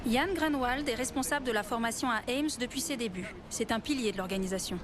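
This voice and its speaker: A woman reading a documentary voice-over in a smooth, measured way. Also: on the high side, a crowd in the background. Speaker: documentary narrator. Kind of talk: scripted narration. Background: background music.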